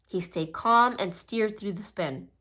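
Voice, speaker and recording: A woman reading a children's storybook aloud in a gentle, steady storytelling manner, with small slips now and then. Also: mid-range voice, unhurried. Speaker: storybook reader. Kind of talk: children's read-aloud narration.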